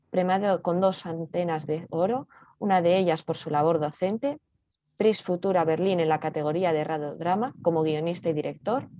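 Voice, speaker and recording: Mellow, lowish-voiced woman, dull audio. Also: no echo. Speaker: workshop presenter. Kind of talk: reading a formal introduction.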